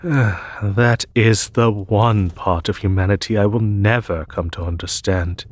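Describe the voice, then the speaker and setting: A man playing a noble, weary, peace-seeking demon emperor in a fantasy audio roleplay, speaking in a formal, measured way. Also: lowish voice. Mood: grave, disdainful. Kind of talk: fantasy audio roleplay, spoken softly to one listener.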